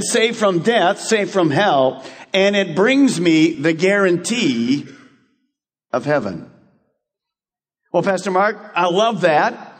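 A male pastor with a high, husky voice that swoops up and down as he preaches. He fires off questions and answers them himself. His voice rings with the long reverb of a church hall. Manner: animated preaching with rhetorical questions. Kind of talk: radio sermon.

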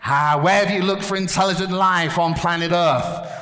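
A man's voice: high voice, fairly even intonation, normal speed, fairly bright tone, slight background noise. A man presenting a playful object lesson to children in church, laughing often and calling out to the room. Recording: echoey hall.

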